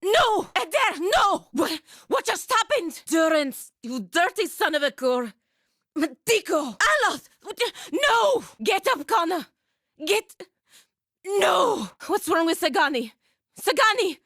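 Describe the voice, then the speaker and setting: A woman's voice: very high-pitched, big pitch swings, slow pace, fairly bright tone, dry recording, exaggerated voice. A woman voicing a proud, disciplined birdlike paladin in a video game, with a dry, clipped, commanding manner and sardonic edge. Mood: anguished shouting. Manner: dry, clipped, commanding, with foreign words mixed in. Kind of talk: video game voice acting, dialogue and battle barks.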